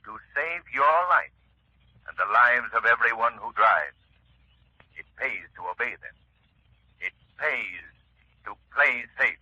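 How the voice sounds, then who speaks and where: A man delivering a declamatory 1930s radio safety message with forceful delivery. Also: mid-range voice, lively intonation, slow, long pauses, husky, no echo. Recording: dull, dry 1930s radio recording.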